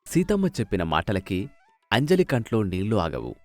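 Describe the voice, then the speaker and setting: A man's voice: on the low side, huge pitch swings, moderate pace, darkish tone, dry recording, faint music behind. A man narrating a scripted moral story as a storyteller.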